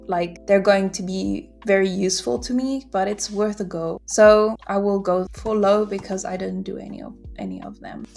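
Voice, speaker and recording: Woman vlogger, casual and rambling, some vocal fry, mid pitch. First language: not German. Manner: rambling, frequent restarts and fillers. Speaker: product manager vlogger. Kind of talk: casual vlog self-review.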